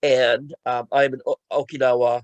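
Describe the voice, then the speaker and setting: Male, 60s, mid-pitched, measured and halting panel speaker, close dry recording. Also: flat delivery, no echo. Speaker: conference panelist and language teacher. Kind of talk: informal self-introduction in a group discussion.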